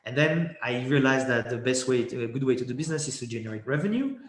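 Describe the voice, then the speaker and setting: A man's voice: medium pitch, lively intonation, quick pace. A young man, a guest in a casual interview, talking in a rambling way with many restarts and fillers. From Switzerland. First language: French. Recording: echoey room.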